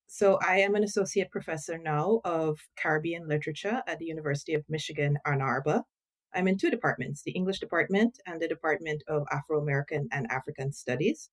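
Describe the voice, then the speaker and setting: A middle-aged woman from Guyana, a literature professor, explaining thoughtfully in a podcast interview with frequent pauses and ums. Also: sits low. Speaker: guest professor.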